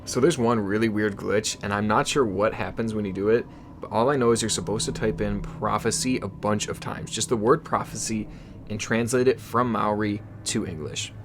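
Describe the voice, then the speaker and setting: Excitable man vlogging, native Igbo speaker. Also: fairly low pitch, moderate pace, background noise. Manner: excitable, swears, laughs in disbelief. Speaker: YouTube host. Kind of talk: casual vlog talk.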